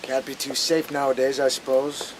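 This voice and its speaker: An actor playing a calculating, polite negotiator in a radio drama, measured and dryly ironic. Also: male voice, medium pitch, level tone, natural voice. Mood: guarded politeness. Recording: faintly noisy radio drama recording.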